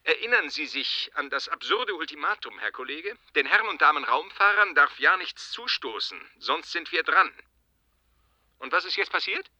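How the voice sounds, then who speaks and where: A cynical colleague in a radio play. The voice is piercingly bright and fairly high for a man, with animated swings. He is bitter, biting and loves to needle. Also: moderate pace, dull audio. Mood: goading, scornful. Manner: sarcastic, biting. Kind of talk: German radio drama acting.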